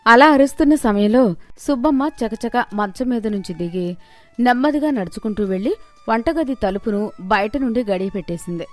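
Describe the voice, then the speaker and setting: A woman's voice: a little high, animated, moderate pace, faint music behind. A woman storyteller reading a scripted moral story as narration.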